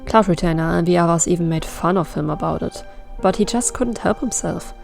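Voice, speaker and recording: Woman narrating a story over soft music. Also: fairly low pitch, fairly quick, creaky. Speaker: fan fiction reader. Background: background music.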